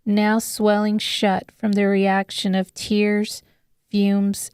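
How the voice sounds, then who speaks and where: Slow, smooth woman narrating dark fiction. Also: mid-range voice, no echo, clean studio sound. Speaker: audiobook narrator. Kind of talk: scripted dramatic story narration.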